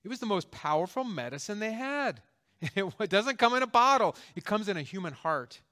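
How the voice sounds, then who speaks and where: A man's voice: high-pitched, animated, moderate pace, sharp tone. A man in his fifties, a pastor delivering a conversational sermon with jokes and emphatic stresses. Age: 50s.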